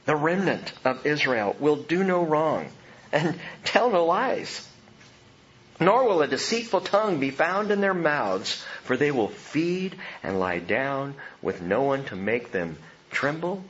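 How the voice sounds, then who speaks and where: A man preaching a sermon and telling stories with a lot of animation, his voice dropping to a hush and then rising with excitement. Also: a little high, slow pace. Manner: animated storytelling, swings from hushed to excited. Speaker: pastor. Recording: dull lo-fi sound with faint noise.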